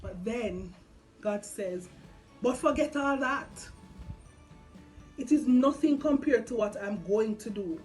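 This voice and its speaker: A young woman with a Jamaican accent chatting casually about faith and scripture, expressive and slipping between English and Patois. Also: a little high, takes it slowly, long pauses, poor audio. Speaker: vlog host. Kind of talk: casual faith chat, retelling and reading scripture. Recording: music behind the voice. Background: background music.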